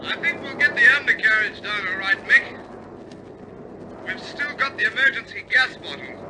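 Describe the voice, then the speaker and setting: A man's voice: high voice, moderate pace, close to an everyday voice. An actor playing a loyal, anxious bomber crewman in a war radio drama, reporting earnestly to his skipper. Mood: concerned. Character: loyal male airman on a crippled bomber, dogged, anxious. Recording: loud aircraft engine drone. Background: aircraft engine noise.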